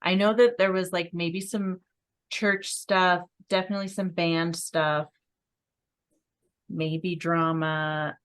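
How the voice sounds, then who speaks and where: A woman co-hosting a podcast, chatting casually in a lively, friendly way. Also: lowish voice, slow, smooth. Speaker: co-host. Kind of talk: casual chat.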